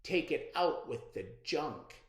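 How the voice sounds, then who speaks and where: A man's voice: fairly high pitch, sing-song, slow pace, roomy sound, pitched above the performer's own voice. A man voicing a snobbish, smug girl inventor in a storybook, with a haughty, mock-sweet manner. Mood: bossy.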